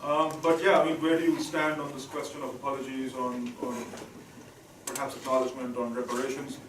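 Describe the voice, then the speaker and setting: A man's voice: mid-range voice, flat delivery, a little fast. A man moderating an academic panel discussion, hesitant and full of fillers, slipping between English and Hindi-Urdu. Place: university seminar room. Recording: echoey room, distant mic, background hiss.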